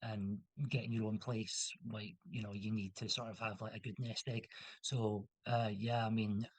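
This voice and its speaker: A man's voice: fairly low pitch, level tone, normal speed, no echo, poor audio. A young man from Scotland, a guest in a casual interview, talking in a rambling way with many restarts and fillers.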